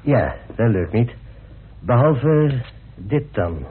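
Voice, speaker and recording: A man's voice: mid-range voice, sing-song, extremely slow, a little hiss or hum. An actor playing a self-assured, talkative, persuasive man in a radio drama. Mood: measured, polite. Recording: muffled, narrow-band old radio recording.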